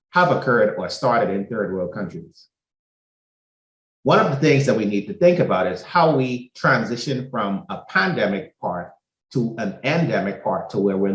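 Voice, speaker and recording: A man from the Bahamas speaks in a low, steady voice, laying out his points one by one in a measured way. The recording carries a noticeable roomy echo. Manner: measured, methodical, lists points in order. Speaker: doctor. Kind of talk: prepared explanatory talk.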